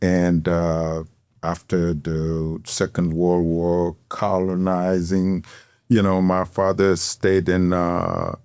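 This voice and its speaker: A middle-aged man from Senegal, a guest telling his story in an interview, unhurried and halting with many fillers. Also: sits low, completely flat delivery, a little mellow, clean tone, no echo. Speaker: guest, tailor. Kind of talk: interview conversation.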